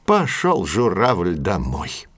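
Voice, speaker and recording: Slow, expressive male fairy tale narrator. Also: low voice. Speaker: storyteller.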